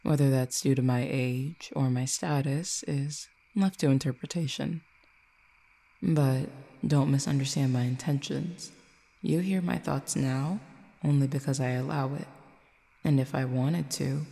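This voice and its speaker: Casting: ancient cupid for audio roleplay, possessive and haughty, dark mellow tone with gravelly fry, deliberate teasing menace performer acting it out. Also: medium pitch, fairly even intonation. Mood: smug, intimidating. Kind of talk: scripted audio roleplay, acted monologue.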